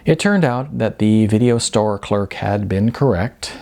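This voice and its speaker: Brief: male audiobook narrator, low pitch, expressive and animated. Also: a little slow, gravelly. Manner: expressive, storytelling delivery. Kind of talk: scripted narration of a novel.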